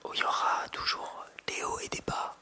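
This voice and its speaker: A man in his thirties whispering softly into a close binaural microphone for ASMR, with hesitant restarts. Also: normal speed. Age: 30s. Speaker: ASMR creator. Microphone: close binaural mic with hand sounds.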